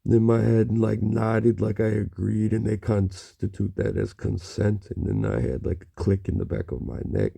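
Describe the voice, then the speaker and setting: A man talking in a halting, rambling monologue, murmuring and pausing with frequent low hums. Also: vocal fry, mellow, slightly rough.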